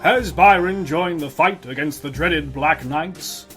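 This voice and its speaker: An actor playing a melodramatic announcer of an old-time radio serial. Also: male voice, fairly high pitch, slow, a bit bright. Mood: suspenseful, grand. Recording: music under the voice.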